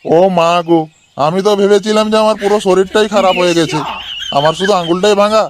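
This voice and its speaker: Man as a plaintive, high-voiced cartoon patient, noisy recording. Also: fast talker, lightly acted. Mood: amazed. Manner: plaintive, pleading, repetitive. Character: anxious, dim-witted, forgetful male patient in comedy cartoon. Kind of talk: cartoon comedy skit dialogue.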